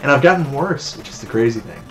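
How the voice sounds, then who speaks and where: A man from Newfoundland streaming a retro game, chatting casually and getting frustrated and shouting at it. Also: medium pitch, lively intonation, fast, soft background music, poor audio. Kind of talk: casual live-stream chat.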